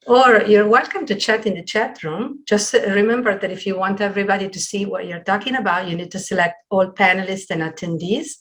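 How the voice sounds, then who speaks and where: A middle-aged woman hosting a webinar on a video call from a small room at home, introducing things in a halting, partly read way. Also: medium pitch, steady tone, fairly bright tone. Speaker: webinar host. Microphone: computer video call.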